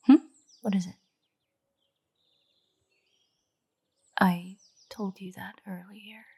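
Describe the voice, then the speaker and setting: A woman's voice: medium pitch, fairly even intonation, a little slow, gravelly, mellow, slightly rough, heavily acted. A woman playing an earnest, nerdy royal botanist in a fantasy audio roleplay, speaking in a precise, formal, lecturing way directly to the listener. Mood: flustered, apologetic. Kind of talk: roleplay audio drama, scripted monologue to the listener. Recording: birdsong behind the voice. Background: birdsong, garden ambience.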